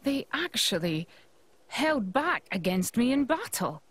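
Female game commander, husky, deliberate, composed, formal, proud, calculating. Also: on the high side, sing-song, a bit bright, full character voice. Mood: slighted, musing. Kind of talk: video game cutscene acting.

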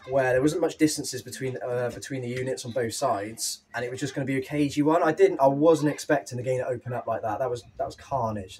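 Young male football manager, post-match, a bit winded, lively and frank; light room noise. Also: medium pitch, rough recording. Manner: animated, candid, with fillers and restarts. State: slightly out of breath. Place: small clubhouse room with painted wooden walls.

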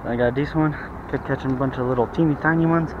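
A man with an American accent, talking casually to himself and the fish while catching bass at a weedy pond bank, in an offhand, self-mocking way. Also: steady tone, very fast. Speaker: fishing vlogger. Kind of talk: casual running commentary while fishing. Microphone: chest or head-mounted action camera. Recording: muffled action-camera audio with outdoor noise.

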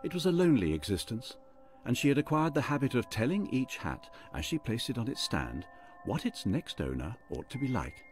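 An actor narrating a fantasy tale as storyteller in a scripted radio drama. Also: male voice, mid-range voice, big pitch swings, brisk, lightly acted. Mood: storytelling. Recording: music under the voice. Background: music.

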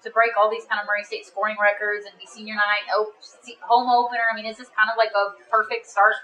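A woman's voice: medium pitch, little pitch movement, fast talker, bright, forceful tone, low-quality recording. A woman reporter asking players questions at a press conference in a casual, conversational way.

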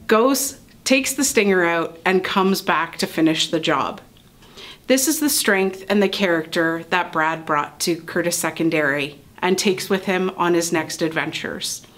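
A woman's voice: on the low side, a little slow. A middle-aged woman teacher presenting an award and introducing the valedictorian, speaking with pride. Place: school building near windows.

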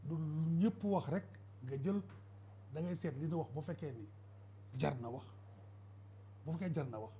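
A man's voice: on the high side, moderate pace, pauses often, mellow, a little hiss or hum. A middle-aged Senegalese man arguing insistently as a debate guest in a TV studio, on a lapel mic. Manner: insistent, interrupts back. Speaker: political guest. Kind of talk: televised political debate. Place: TV studio set.

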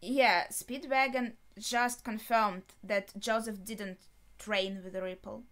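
A woman in her twenties reacting casually on camera in a small room, thinking aloud in a halting way. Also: mid-range voice, fairly even intonation, fairly bright tone. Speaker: reaction video host. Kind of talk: casual spoken reactions to an anime episode. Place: small bedroom, indoors.

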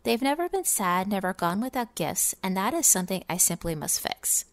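A woman's voice: mid-range voice, very animated, moderate pace, no room sound. Woman reading as a grumpy growling goblin. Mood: scheming. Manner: sneering, bossy. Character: grumpy, snarly rich male goblin who hates holidays.

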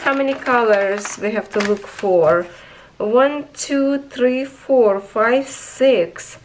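Slow, high-voiced hoarse woman reviewer. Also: slight background noise, rough recording. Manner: chatty, enthusiastic, talks to viewers as friends. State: hoarse, losing her voice. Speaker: craft reviewer. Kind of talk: casual unboxing review. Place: indoors at a tabletop.

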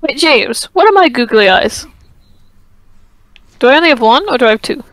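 A woman's voice: sits high, big pitch swings, moderate pace, fairly bright tone. A woman chatting casually with friends while playing an online game. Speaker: gamer in a group voice chat. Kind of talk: casual gaming chat with friends.

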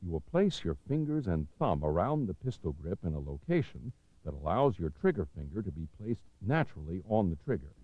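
An American man reading scripted instructional narration for a training film, measured, authoritative and clearly enunciated. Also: sits low, sing-song, dry recording. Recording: dull, lo-fi 1990s videotape soundtrack.

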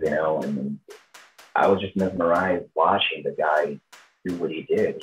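Very deep-voiced Brazilian-accented man. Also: a little hiss or hum, poor audio. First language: Portuguese. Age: 30s. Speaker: tribute show performer, interviewee. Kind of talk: informal interview answers.

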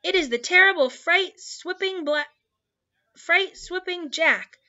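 A woman's voice: on the high side, expressive, normal speed, pushed and bright, dull audio. A woman voicing a huge brutish man-eating giant for a storybook, bellowing and screeching in terror with broken grammar. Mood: panicked, pleading.

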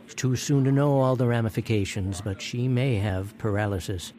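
An American man playing a sober, measured hospital doctor in a radio drama, speaking carefully with some hesitation. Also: medium pitch, close to an everyday voice. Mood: grave.

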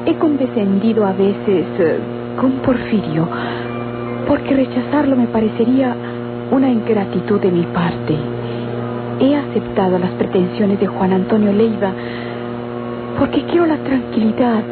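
A woman's voice: medium pitch, animated, unhurried, natural voice. An actress with a Mexican accent playing a dutiful, resigned young countrywoman in a radionovela, speaking formally and earnestly. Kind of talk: golden-age radionovela acting. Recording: tinny vintage radio recording, loud music.

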